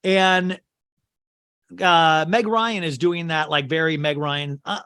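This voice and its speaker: Bright-voiced man with a strained voice. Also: on the high side, fairly even intonation, takes it slowly, clean tone. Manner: acts out quotes in shifting put-on voices. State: strained, worn voice. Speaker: podcast host. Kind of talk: recapping a film scene by scene.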